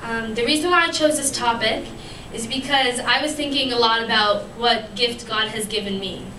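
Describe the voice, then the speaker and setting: A woman's voice: fairly high pitch, normal speed, bright, forceful tone. A young woman giving an earnest church devotional to a fellowship, with many ums and restarts, her voice still worn from having lost it. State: recovering from a lost voice. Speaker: youth speaker at church fellowship. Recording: echoey room, distant mic, noisy.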